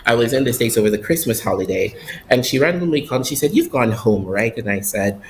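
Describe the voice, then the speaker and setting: A man's voice: medium pitch, lively intonation, fast, a little hiss or hum. A man from Barbados, a guest who lives abroad, talking casually in an interview with many fillers and restarts.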